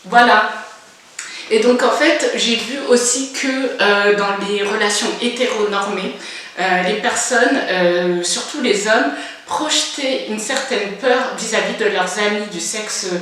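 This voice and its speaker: A woman's voice: mid-range voice, unhurried, fairly bright tone, heavy reverb, far from the mic, a little hiss or hum, poor audio. A woman in her forties talking frankly to camera in her first vlog, hesitant with lots of filler sounds but blunt. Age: 40s. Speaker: vlogger. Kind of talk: casual personal monologue.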